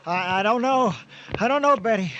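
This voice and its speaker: A man's voice: sits high, normal speed, noisy background, natural voice. A man playing a flustered, panicky TV weatherman in a radio drama, stammering and breaking up his phrases. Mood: anxious. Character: flustered, increasingly panicked male TV weatherman burning up. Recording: dull lo-fi audio with music behind.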